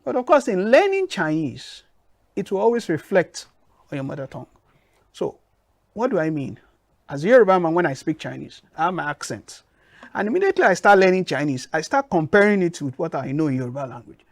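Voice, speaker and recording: A man with a Nigerian accent answering interview questions in a measured, emphatic way. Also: a little high, big pitch swings, vocal fry, dry recording. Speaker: guest.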